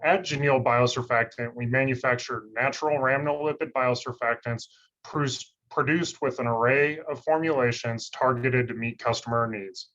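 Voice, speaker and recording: A man scientist giving a steady, monotone scripted webinar presentation over a video call. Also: mid-range voice, moderate pace. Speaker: industry research scientist presenting. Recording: slightly dull lo-fi call audio.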